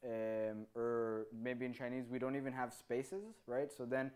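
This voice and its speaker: A man in his thirties, native Hebrew speaker, fields questions from an audience in a meeting room. He talks into a handheld mic, animated and gesturing, tagging his points with a quick "right?". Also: medium pitch, clear, resonant tone. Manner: animated, gestures with free hand, tags sentences with "right?". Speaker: conference speaker. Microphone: handheld microphone.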